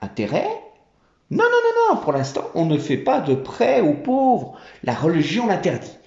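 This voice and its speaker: A man doing a fawning monkey general in a storybook tale, a chattering tax collector who talks up his king. The voice is raised and lively, swooping up and down, with a mellow tone. It sits in a roomy, dull-sounding recording. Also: sits high, measured pace, vocal fry, full character voice. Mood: conspiratorial. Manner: obsequious, chattering.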